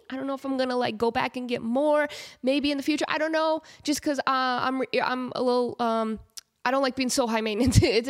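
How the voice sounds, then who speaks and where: This is a woman with a congested, nasal voice that sits a touch above the usual pitch. She is in her thirties and hosts a casual podcast. She tells stories with lots of energy, laughing and slipping into quoted voices as she goes. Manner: animated, laughs often, acts out quotes. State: stuffed-up nose. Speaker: podcast host. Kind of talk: casual chat.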